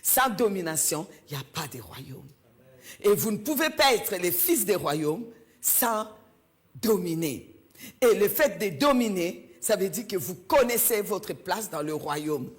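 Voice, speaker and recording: A woman's voice: mid-range voice, sing-song, unhurried. A woman from Belgium, preaching a sermon in a church and stressing her points by repeating key phrases.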